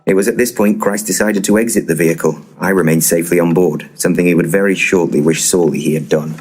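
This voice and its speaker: An actor playing a solemn, long-winded male paranormal investigator with a formal, ponderous deadpan, in a comedic audio drama. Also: low-pitched, fast, slightly rough. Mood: solemnly narrating.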